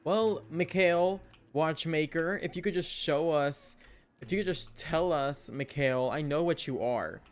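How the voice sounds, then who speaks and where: A man's voice: on the high side, a little slow, clean tone. A young man streaming a game, giving casual, chatty and playful live reactions. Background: game music.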